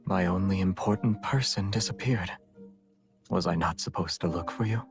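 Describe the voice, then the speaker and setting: A very deep-voiced tyrant king in a romance video game. He is soft-spoken, restrained and intense, with music under the voice. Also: normal speed, creaky. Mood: quietly intense. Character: quiet, intense tyrant king, devoted lover. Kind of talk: scripted video game voice acting.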